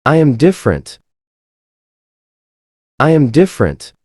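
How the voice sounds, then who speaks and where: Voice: mid-range voice, sing-song, measured pace, a little mellow, no room sound, studio quality. A voice modeling short phrases for learners, saying each one twice and carefully. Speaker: pronunciation model. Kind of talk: slow phrase drills.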